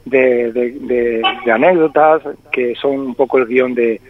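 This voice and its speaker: A man's voice: mid-range voice, a little slow, no echo. A singer-songwriter in his forties with a slight Argentine-tinged Spanish accent, talking reflectively in a phone interview with many hesitations. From born in Spain. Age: 40s. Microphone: telephone. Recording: tinny phone line, dry.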